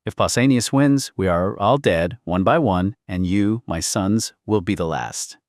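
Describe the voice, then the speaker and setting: A commanding queen , as a male audiobook narrator reads her, grave and imperious in a historical saga. The voice is low and sits in a dry, close sound. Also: unhurried, no room sound, lightly acted. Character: commanding middle-aged queen, an anxious, grave mother. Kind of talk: audiobook character dialogue.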